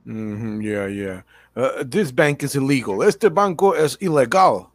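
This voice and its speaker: Radio comedy: officious cop, medium pitch, mocking and pushy, slips English into his Spanish. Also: lively intonation, takes it slowly. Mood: sly, mocking. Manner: mixes English into Spanish, sardonic. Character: smug, officious New York policeman, bullying a foreigner. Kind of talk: comic radio drama acting.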